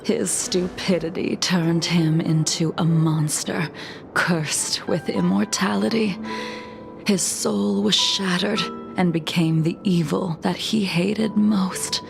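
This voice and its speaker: A woman voicing a cold, stoic immortal swordswoman in a video game, measured and grave with a breathy edge to the voice. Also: on the low side, fairly even intonation, over music, heavily acted. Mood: bitter, grave. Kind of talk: dramatic game dialogue.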